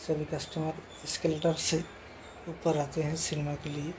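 A man's voice: fairly high pitch, completely flat delivery, normal speed, noisy background. A man giving a casual walking tour of a shopping mall, filming on his phone and repeatedly calling the viewers friends. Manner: repetitive, addresses viewers as friend. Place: outdoors and inside a large shopping mall.

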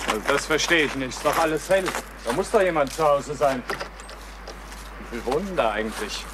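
A man's voice: on the high side, lively intonation, brisk, close to an everyday voice. An actor playing a puzzled, matter-of-fact policeman on duty in a radio crime drama. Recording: noisy outdoor background.

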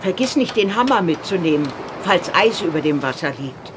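An actress playing a kindly old farm grandmother in a radio drama, with a husky voice. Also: lowish voice, lively intonation, moderate pace, background noise, natural voice. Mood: practical, caring.

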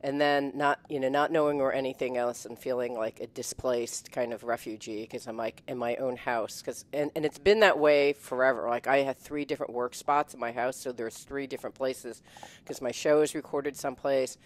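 Animated middle-aged woman on headset. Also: very deep voice, normal speed. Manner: animated, emotional, laughs often, many restarts. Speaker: guest. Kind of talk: casual chat. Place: home room, indoors. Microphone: headset mic on video call.

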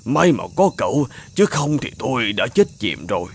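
A man's voice: mid-range voice, sing-song, normal speed, a little hiss or hum. A man voicing a kindly, grateful old man in a children's fairy-tale cartoon. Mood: grateful, earnest.

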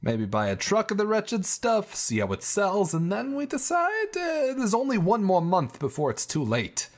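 A man's voice: sits high, huge pitch swings, moderate pace, exaggerated voice. An actor playing a cautious, scheming right-hand man in a 1920s gangster audio drama, deferential and hedging. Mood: deferential, pleading.

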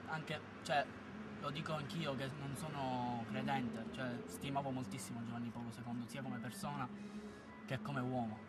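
A man's voice: medium pitch, steady tone, normal speed, heavy background noise. A young man from Palermo, giving a blunt, opinionated street interview answer into a handheld microphone outdoors. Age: young adult. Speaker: passer-by. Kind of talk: street vox pop. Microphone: handheld reporter mic.

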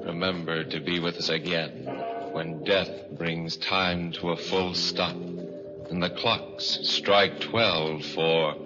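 A man's voice: low-pitched, steady tone, slow pace. A man narrating 1940s radio suspense as announcer, in an ominous, theatrical manner. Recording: muffled old radio, heavy reverb, loud music.

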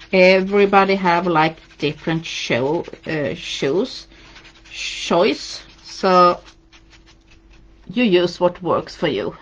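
A Swedish-accented woman talks a live audience through a craft project at her table, chatty and encouraging. Her delivery is slow and her voice sits a little low. The stream audio sounds dull and lo-fi. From Sweden. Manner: chatty, encouraging, reads out viewer comments while painting. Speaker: craft demonstrator.

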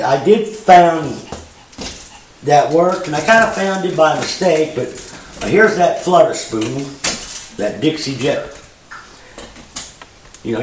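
A man's voice: on the high side, a little hiss or hum. Ozarks man, echoey distant garage recording. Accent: Missouri Ozarks. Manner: plain-spoken, rambling, frequent "you know" and "uh". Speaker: fishing guide giving a weekly report. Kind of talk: casual spoken fishing report. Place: garage with a bass boat, indoors. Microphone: far camera. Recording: roomy garage echo, distant mic.

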